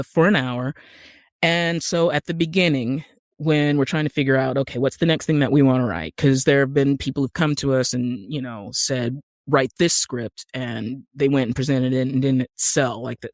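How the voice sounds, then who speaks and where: A woman's voice: low-pitched, no room sound, studio quality. A woman from Utah with an American accent, explaining things step by step in a conversational interview. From Utah, United States. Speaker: podcast guest, screenwriter and actor.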